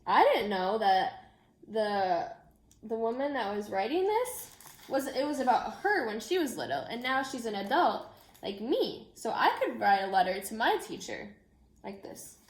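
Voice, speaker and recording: A woman's voice: a little high, big pitch swings, measured pace. A woman teacher reading a picture book aloud and chatting informally and directly to young children. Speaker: elementary school teacher. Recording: echoey room.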